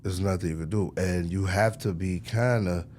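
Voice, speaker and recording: A man's voice: low-pitched, fairly even intonation, normal speed, a little mellow, slight background noise. A man speaking as a music executive in a casual interview, in slangy talk peppered with "you know" and "man".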